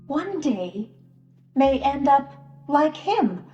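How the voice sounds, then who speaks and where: A woman playing a sorrowful, troubled grandmother in a solemn Passion drama monologue, pausing long between phrases. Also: high-pitched, sing-song, mellow, lo-fi sound, exaggerated voice. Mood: troubled, grieving. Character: sorrowful grandmother in occupied ancient land, a troubled bystander. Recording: roomy echoing church sound with music.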